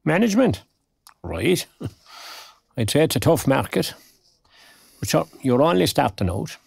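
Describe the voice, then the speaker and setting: A male actor playing a friendly, talkative retired man in an audio drama, an older Irish voice, husky and gravelly, with old-fashioned turns of phrase. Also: fairly high pitch, very animated, a little slow, dry recording, studio quality, full character voice. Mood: encouraging, genial. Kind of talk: naturalistic audio drama acting.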